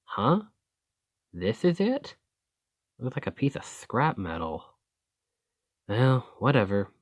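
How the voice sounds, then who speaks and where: A man's voice: medium pitch, very animated, slow pace, dry recording, clean studio sound. A man playing a roguish bandit leader in an ASMR roleplay, teasing and grudgingly impressed, with folksy turns of phrase. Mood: curious, teasing. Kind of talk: ASMR roleplay acting, one-sided dialogue.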